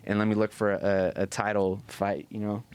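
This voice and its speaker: Male podcast host, low smooth voice, dry recording, loose slangy swearing, casual sports talk. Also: little pitch movement, brisk, a little mellow, dry recording. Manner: slangy, profane.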